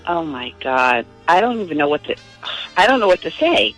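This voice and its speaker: A woman's voice: deep voice, very animated, normal speed, faint music behind. A woman taking a phone call, flustered and stammering with disbelief. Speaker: prank call victim. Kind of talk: phone conversation.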